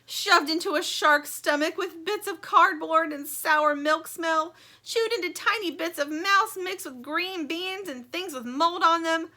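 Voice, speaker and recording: A woman reading a storybook, voicing an overwrought female toy stingray with a high, wailing manner. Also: measured pace, hardly any pauses, pushed and bright, full character voice. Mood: horrified.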